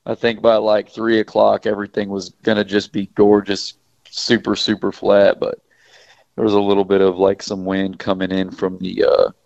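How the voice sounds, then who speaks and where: A hoarse man, a fishing guide calling into a radio show, who talks in upbeat, quick bursts. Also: on the low side, monotone, darkish tone, dry recording. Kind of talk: casual phone chat. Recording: dry, dull phone line.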